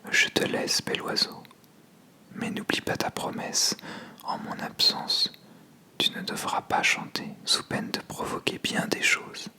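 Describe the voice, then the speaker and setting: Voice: measured pace, sharp tone. A storyteller voicing a grumpy, lonely and powerful male dragon god in a stern whisper for an ASMR tale. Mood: stern warning.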